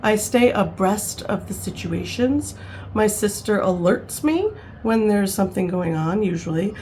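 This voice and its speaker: A woman's voice: mid-range voice, expressive, measured pace, mellow, slight background noise, poor audio. A woman in her fifties, rambling and chatty, talking casually to camera at home, with frequent laughs and fillers. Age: 50s. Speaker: vlogger.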